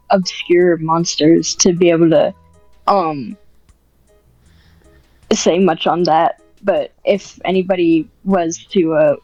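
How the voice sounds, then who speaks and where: A woman's voice: lowish voice, warm tone, no echo, muffled recording. A woman podcast guest chatting casually in a modest, informal and hesitant way. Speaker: podcast guest, script writer. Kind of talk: casual interview chat.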